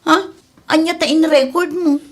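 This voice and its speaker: A woman's voice: very high-pitched, fairly even intonation, normal speed. An actress playing a lovesick, troubled young woman in a radio drama, whiny and emotional in manner. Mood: casual, curious. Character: lovesick, distressed young woman quarrelling and confiding.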